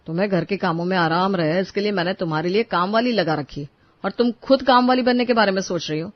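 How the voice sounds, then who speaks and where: A man voicing a proud, dismissive husband in an animated story, talking in a scornful, condescending way. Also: sits high, quick pace, no echo, lightly acted. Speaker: voice actor. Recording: dull, dry studio recording.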